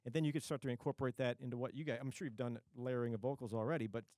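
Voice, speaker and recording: Fast-talking excitable male radio host. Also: mid-range voice, dry recording. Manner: fast, excitable, repeats words. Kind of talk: casual interview chat. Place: radio studio.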